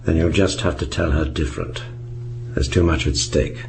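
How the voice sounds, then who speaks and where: Radio drama: senior British intelligence chief, very deep, urgent, commanding, deliberate pauses. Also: male voice, vocal fry, background noise. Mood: grave, insistent. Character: insistent, senior British spymaster, grave and urgent.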